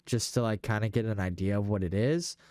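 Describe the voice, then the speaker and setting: A man's voice: on the low side, brisk, darkish tone, no echo. Young man chatting in a dry-sounding space. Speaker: podcast host. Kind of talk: casual gaming chat.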